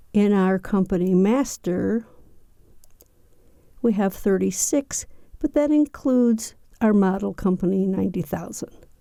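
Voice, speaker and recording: Mellow, dark-toned woman presenting a tutorial. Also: mid-range voice, vocal fry, no room sound, clean studio sound. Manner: methodical, explanatory. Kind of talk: step-by-step software walkthrough, unscripted explanation.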